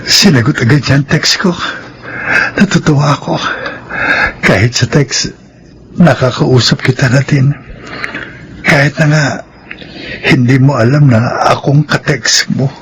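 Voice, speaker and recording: A man's voice: medium pitch, unhurried, pauses often, natural voice. A man playing a shy, lovestruck, self-doubting young janitor in a Filipino radio drama. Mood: wistful.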